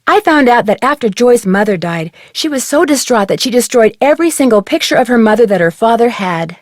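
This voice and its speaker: A woman's voice: on the high side, no room sound, studio quality. A woman playing an earnest, inquisitive teenage girl detective in a video game, chatting in articulate, conversational lines.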